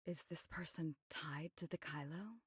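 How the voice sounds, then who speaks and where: Husky, reserved anime ally. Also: female voice, low voice, measured pace, no room sound, clean studio sound, heavily acted. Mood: calm, thoughtful. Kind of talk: English anime game voice acting.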